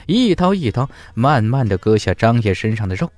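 A man's voice: mid-range voice, very animated, normal speed, darkish tone, dry recording. A man narrating a fantasy audiobook from a script in a dramatic, emphatic storytelling style.

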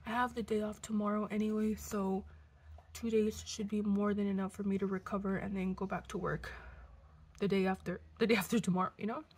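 A sick young woman in her twenties, hoarse and congested, chatting casually to a close camera at home, cursing and coughing now and then. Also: medium pitch, level tone, brisk, a little mellow. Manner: chatty, swearing, coughs between lines. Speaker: vlogger. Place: living room at home. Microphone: camera close to face.

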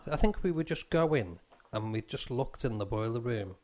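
A man co-hosting a paranormal radio show, agreeable and quick to back up his partner. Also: medium pitch, animated, a little mellow. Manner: agreeable, backs up the other host. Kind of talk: casual studio chat. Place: radio studio.

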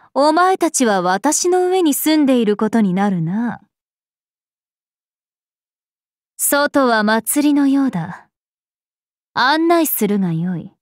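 Casting: ageless regal game princess, haughty and composed, measured pace, slightly high voice. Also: female voice, no echo, studio quality. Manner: imperious, archaic, composed, aloof. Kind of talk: Japanese mobile game character voice lines.

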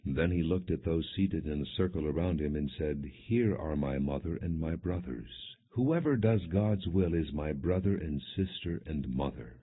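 A man with a very low voice reads scripture as a scripted audiobook narration. His tone is husky and his delivery is measured and solemn. The recording sounds muffled and low in fidelity. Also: expressive. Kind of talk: scripted narration, non-dramatized scripture reading.

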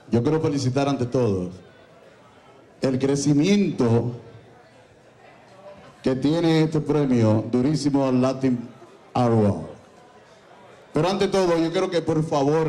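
A man's voice: on the high side, mellow. A man hosting an awards gala on stage, speaking emphatically like a preacher, blessing the crowd and calling for applause through a handheld microphone. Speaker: event host. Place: large banquet hall, on stage. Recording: echoey banquet hall.

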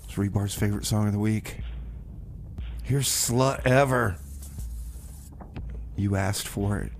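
A man presents music on the radio at an unhurried pace. His delivery is folksy and self-deprecating, with hesitations and repeated phrases. There is some noise behind his voice. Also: fairly low pitch, vocal fry. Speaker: radio DJ and show host. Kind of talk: rambling music radio presenting between songs.

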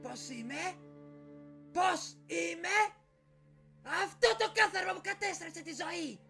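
A woman's voice: very high voice, expressive, creaky, pushed and bright, soft background music, exaggerated voice. A woman voicing a bitter, spiteful young villainess in a cartoon parody dub, scornful and bossy, stretching words for emphasis. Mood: bitter, resentful.

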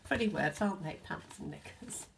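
A British woman in her sixties reads a children's picture book aloud, expressively, with gentle asides addressed to the children. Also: deep voice, animated, moderate pace, vocal fry, a little hiss or hum. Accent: British English. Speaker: storyteller, infant school teacher. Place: indoors in a home hallway.

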